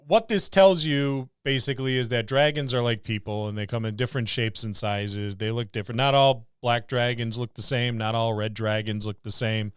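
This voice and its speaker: A man's voice: medium pitch, normal speed, hardly any pauses, clean tone, no room sound. A middle-aged man co-hosting a podcast, chatting casually about tabletop gaming with dry, wry teasing. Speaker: podcast co-host.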